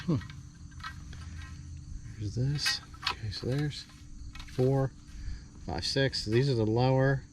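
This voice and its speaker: A man giving a casual, off-the-cuff unboxing commentary, halting and thinking aloud as he handles parts with a camera in hand. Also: mid-range voice. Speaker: hobbyist vlogger doing a product unboxing. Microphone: handheld camera held while working, varying distance. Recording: noisy handheld camera audio, clear background noise.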